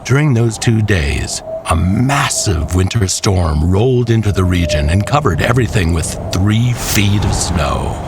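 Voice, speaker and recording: A man with a very low voice tells a scripted tale at an unhurried pace. He draws his phrases out for dramatic weight, each one measured. Music plays clearly behind the narration. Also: expressive. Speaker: storyteller.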